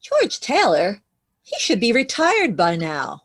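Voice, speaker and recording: A woman's voice: on the high side, wildly swooping pitch, slow, heavily acted. Woman acting a matter-of-fact stage character. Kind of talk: scripted musical table read.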